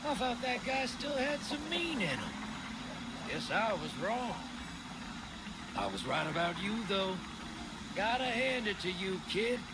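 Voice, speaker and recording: An actor playing a streetwise rogue mentor in a video game, with a colloquial, sardonic way of talking. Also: male voice, high-pitched, very animated, a little slow, pauses often, very noisy, full character voice. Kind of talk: casual video game character monologue.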